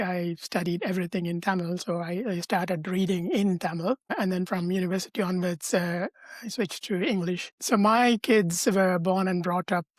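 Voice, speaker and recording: A middle-aged man, answering interview questions in a measured, thoughtful way over a video call. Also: sits high, dry recording. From Sri Lanka. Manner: measured, thoughtful, with frequent hesitations and restarts. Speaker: guest, professor and translator.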